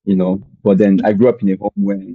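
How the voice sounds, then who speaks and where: A young man from Nigeria with a Nigerian accent, telling his story as a podcast guest in a relaxed, rambling way with many fillers. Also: lowish voice, fast talker, slightly rough, dry recording, narrow, tinny audio. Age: young adult. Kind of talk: conversational storytelling.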